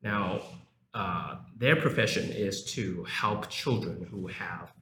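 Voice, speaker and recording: A man's voice: deep voice, takes it slowly. A young man who grew up in South Africa, a lecturer talking casually as an interview guest, with frequent filler words. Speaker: guest, university English lecturer. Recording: echoey room, faint background noise.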